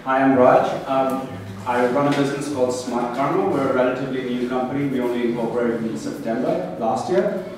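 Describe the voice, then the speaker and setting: A man's voice: medium pitch, fairly quick, hardly any pauses. Male founder, plain and factual, distant mic, heavy room echo. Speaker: startup founder panelist. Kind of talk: panel introduction. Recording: echoey room, distant mic, background noise.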